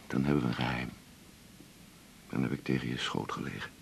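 An actor playing a lonely, teasing, self-mocking doctor in a naturalistic radio drama, talking in a wry, confiding way. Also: male voice, very deep voice, fairly quick, gravelly, heavy background noise, exaggerated voice. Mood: quiet, intimate. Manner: wry, confiding, short clipped phrases.

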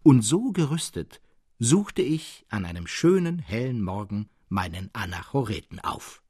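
A man with a standard German accent reads a literary story for radio in an expressive, carefully phrased storytelling manner. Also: lowish voice, measured pace, breathy edge, dry recording, studio quality. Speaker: audiobook narrator.

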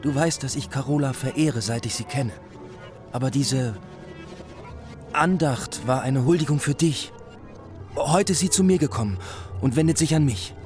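An actor playing an earnest, anguished young lover in a radio drama, with a fervent, uneasy delivery. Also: male voice, medium pitch, normal speed, long pauses, over music, natural voice. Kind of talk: literary radio drama acting.

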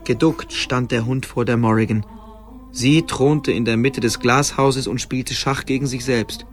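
An actor as the calm narrator of a fantasy radio play, reading in an atmospheric storybook style. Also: male voice, mid-range voice, measured pace, soft background music, close to an everyday voice. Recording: clear ambient noise behind narration.

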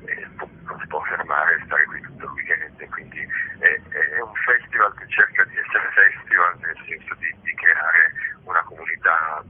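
A man's voice: extremely low pitch, moderate pace, slightly rough. A man being interviewed as a festival organiser, explaining things informally and discursively, often correcting himself. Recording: muffled noisy phone line.

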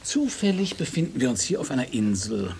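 An actor playing a measured, mildly ironic provincial professor in a radio drama, with a dry, placating manner. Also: male voice, mid-range voice, big pitch swings, gravelly, darkish tone, a little hiss or hum.

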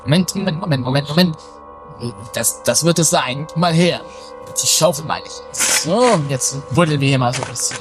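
An actor playing a dramatic, bossy, quarrelsome schemer in a trashy comedy radio play, exclaiming and repeating words excitedly. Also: medium pitch, moderate pace, lightly acted, low-quality recording. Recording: 1980s amateur radio play with music behind.

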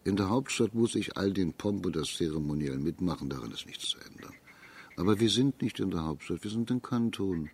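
An actor playing a shrewd, authoritative imperial judge in a radio drama, speaking in a measured, formal, deliberate manner. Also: male voice, deep voice, animated, darkish tone, husky, full character voice. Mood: firm, determined.

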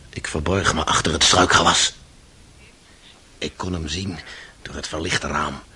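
A man's voice: a bass voice, breathy edge, full character voice. An actor playing an anguished, passionate accused son in a radio play, telling his story with dramatic intensity. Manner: intense, dramatic storytelling, swinging from hushed confession to outburst.

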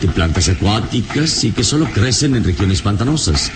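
A man playing a wise, calm, noble adventurer in an old radio serial, speaking in a measured, courteous, instructive way. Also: on the low side, background noise, close to an everyday voice. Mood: serene, philosophical. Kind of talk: old Latin American radio serial acting.

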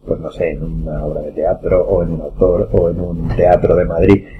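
A man with a fairly low voice and a Spanish accent from Spain. He speaks as the host of an informal podcast, steering a casual chat among friends. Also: level tone, moderate pace, phone-quality sound, noisy background.

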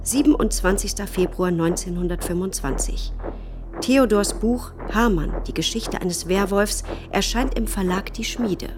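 A woman's voice: mid-range voice, unhurried, noisy background. A woman narrator reading scripted documentary drama in a factual, formal way.

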